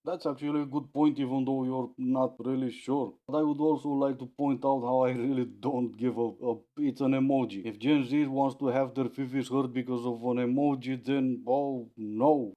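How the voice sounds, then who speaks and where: A man's voice: mid-range voice, fairly even intonation, moderate pace, hardly any pauses, dull audio. A man hosting a reaction channel, commenting on clips in a dry, mocking way.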